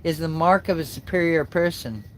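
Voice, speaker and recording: A man with a stuffed-up nose from a cold, hosting a spiritual livestream, speaking slowly and haltingly with many fillers, then reading a passage aloud. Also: fairly high pitch, a bit bright, a little hiss or hum, low-quality recording. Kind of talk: slow reflective spiritual talk and reading aloud.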